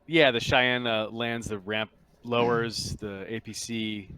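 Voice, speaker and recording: A man improvising narration as the game master of a tabletop roleplaying game, haltingly searching for words. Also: medium pitch, steady tone, pushed and bright, clear, resonant tone.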